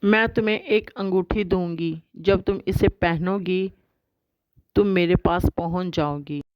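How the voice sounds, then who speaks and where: Woman voicing a kindly, unhurried fairy. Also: on the low side, steady tone, no echo, dull audio, natural voice. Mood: promising, kind. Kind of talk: cartoon fairy tale dialogue.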